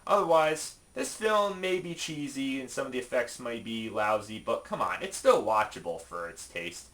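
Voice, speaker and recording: A man presenting a top five list in casual, opinionated video commentary, informal and emphatic with halting asides. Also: medium pitch, very animated, fairly bright tone.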